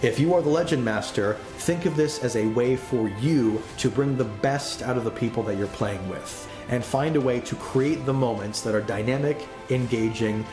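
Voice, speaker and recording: A man's voice: mid-range voice, moderate pace. Male game master storytelling over music. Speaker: game master, host and game developer. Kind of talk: hosting and storytelling for a tabletop game.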